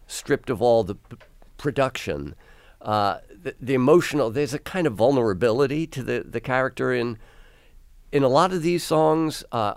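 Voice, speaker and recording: A man hosting a conversational music interview, phrasing his thoughts in a halting, thoughtful way. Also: medium pitch, clear, resonant tone, dry recording. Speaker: radio interviewer, host.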